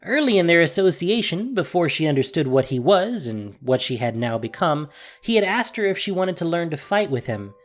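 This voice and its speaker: A woman's voice: lowish voice, sing-song, moderate pace. A woman narrating a fantasy story in a solemn, emphatic, dramatic scripted style. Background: music.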